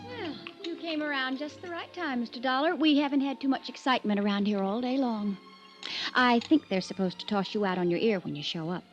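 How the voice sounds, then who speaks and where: Woman playing a spiteful young daughter, highish voice, sarcastic and hurt, old radio drama with music. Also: fairly quick, close to an everyday voice. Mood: sarcastic, bitter. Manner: arch, sarcastic, theatrical. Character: bitter, mocking young rich rancher's daughter, spiteful and wounded. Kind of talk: 1950s radio drama acting. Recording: dull vintage radio recording with music.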